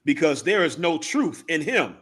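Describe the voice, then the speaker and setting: A man reading short scripture verses aloud in a plain, measured way. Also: fairly high pitch, sing-song, a bit bright, husky. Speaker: scripture reader.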